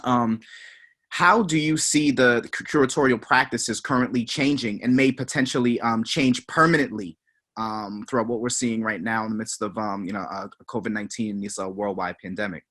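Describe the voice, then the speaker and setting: A man moderating a panel on a video call, soft-spoken and hesitant, with many fillers. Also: lowish voice, fairly even intonation, moderate pace.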